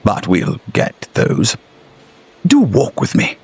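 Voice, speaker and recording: A man's voice: lowish voice, wildly swooping pitch, slow, husky, exaggerated voice. A man playing a dutiful, eerily compliant Victorian factory foreman in a gothic audio drama, blandly reassuring. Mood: smooth, reassuring.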